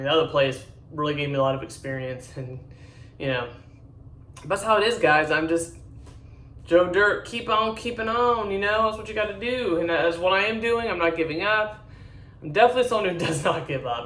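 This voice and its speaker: A deep-voiced woman in her forties chatting casually to her viewers, rambling, blunt and candid. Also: a bit bright, distant mic. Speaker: vlogger. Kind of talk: casual chat to camera. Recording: echoey room, voice a bit off-mic.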